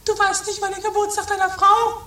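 A woman's voice: extremely high pitch, level tone, brisk, pushed and bright. A woman playing a dramatic, bossy, whiny wife in an amateur comedy radio play. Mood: excited, indignant. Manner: theatrical, whiny, exclaiming. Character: Mathilde, dramatic bossy shrill wife in a castle. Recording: roomy distant 1980s home recording, music behind.

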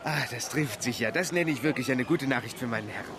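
An actor in a German radio play playing a loyal, indignant manservant, speaking in an emphatic, proud and scoffing way. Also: male voice, mid-range voice, fast talker, crowd noise, lightly acted. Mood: brisk, dismissive. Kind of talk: German radio drama acting.

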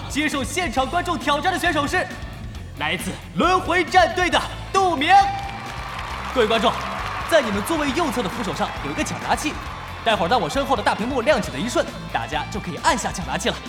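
A man's voice: very high-pitched, very animated, fairly quick. A man acting as a showy esports event host in an audio drama, announcing theatrically to an arena crowd. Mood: showy, rousing. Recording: echoey arena-style reverb, faint music behind.

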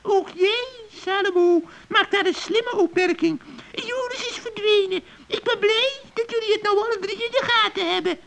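A performer playing a gloating, spiteful old witch in a children's radio play, with a creaky, cackling voice and a mock-friendly, taunting manner. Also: very high voice, moderate pace, a bit bright, heavily acted.

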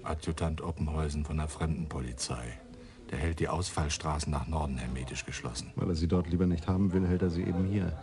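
A man's voice: rumbling low, brisk, crowd noise. An actor playing an easygoing, cynical city policeman who talks in a jovial, offhand way.

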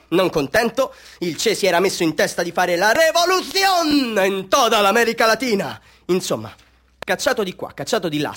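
A man playing a boastful small-time Bolivian street photographer in a radio drama, pitching to tourists in a pompous, confiding, salesman-like way. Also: fairly bright tone, exaggerated voice. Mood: animated, wry. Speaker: actor in a radio drama monologue. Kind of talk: theatrical radio monologue addressed to tourists.

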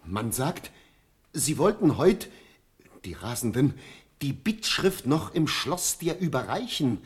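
A man's voice: mid-range voice, big pitch swings, slow, slightly rough, exaggerated voice. An actor playing a grave, deferential courtier in a classical radio drama, solemnly and urgently warning and pleading with his ruler. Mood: urgent, hesitant. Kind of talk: classical German verse radio drama acting.

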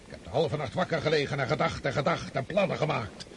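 An actor in a radio drama playing an old, sickly highborn army commander who scolds imperiously. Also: male voice, fast talker, slight background noise, lightly acted. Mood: bitter, weary.

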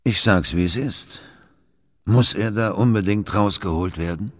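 An actor in a radio play as a weary, brooding philosopher, terse and ponderous. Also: male voice, fairly low pitch, unhurried, long reverb tail. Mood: reflective. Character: brooding, weary foreign philosopher at a northern court.

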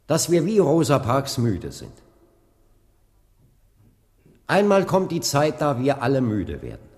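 A man's voice: medium pitch, darkish tone. Earnest young male preacher, solemn radio-drama oratory, sweeping intonation, long hall reverb. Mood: solemn, resolute. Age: 20s. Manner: solemn, rhetorical, deliberate. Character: earnest young Baptist preacher leading a civil rights protest. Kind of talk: radio play acting, swelling into oratory.

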